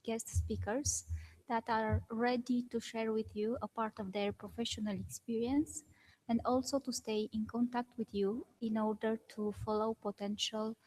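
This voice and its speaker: A woman moderating a conference panel, opening the session in formal, halting phrases. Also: fairly high pitch, a little mellow, low-quality recording.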